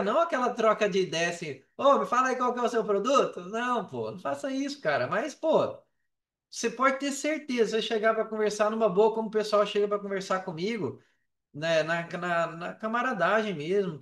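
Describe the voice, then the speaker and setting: A Brazilian man with a high-pitched voice gives casual advice as a podcast guest. He talks in slangy, emphatic phrases that he likes to repeat. The sound is roomy and echoing, as if he sits back from the mic. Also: fairly bright tone. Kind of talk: casual interview talk, giving advice. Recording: roomy echo, distant from the mic.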